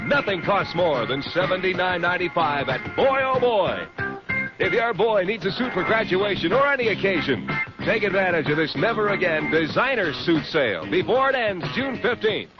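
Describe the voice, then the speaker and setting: A man's voice: high voice, animated, normal speed. A man reading a promotional 1970s radio commercial as a store announcer. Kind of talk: promotional ad read. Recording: muffled 1970s radio broadcast. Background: loud music.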